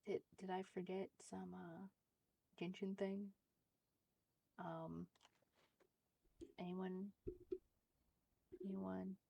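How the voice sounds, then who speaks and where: A woman's voice: mid-range voice, flat delivery, drawn-out words, vocal fry, long pauses, warm tone, husky, poor audio. A woman livestreaming a game with casual commentary, making chatty asides to viewers and repeating short phrases. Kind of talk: casual live gameplay commentary.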